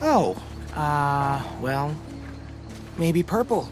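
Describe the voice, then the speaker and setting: A man's voice: fairly high pitch, sing-song, very slow, full character voice. A man playing a wisecracking, dramatic teenage boy survivor in a video game, with an American accent and a playfully theatrical delivery. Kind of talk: video game voice acting, casual dialogue. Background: background music.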